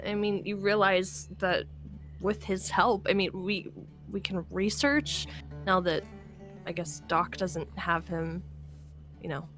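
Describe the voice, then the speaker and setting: A woman playing a hesitant, hopeful young apocalypse survivor in an improvised game roleplay, speaking haltingly and trailing off. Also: fairly low pitch, level tone, a bit bright, faint music behind. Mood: hopeful, tentative.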